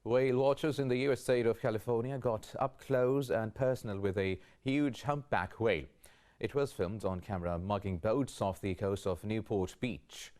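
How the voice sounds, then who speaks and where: Low-voiced male news anchor. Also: normal speed. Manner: steady formal newsreading. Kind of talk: read news bulletin.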